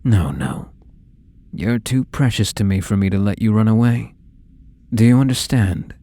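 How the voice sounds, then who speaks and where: An actor playing an obsessive, possessive young male stalker in an intimate ASMR roleplay, coaxing softly with falsely tender menace. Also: on the low side, animated, gravelly, dry recording. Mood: soothing, menacing. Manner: soft, coaxing, menacing, slow and deliberate.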